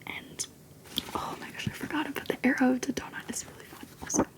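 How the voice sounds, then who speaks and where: Whispering young woman rambling about books. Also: fast. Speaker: video creator. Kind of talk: whispered book-talk ramble.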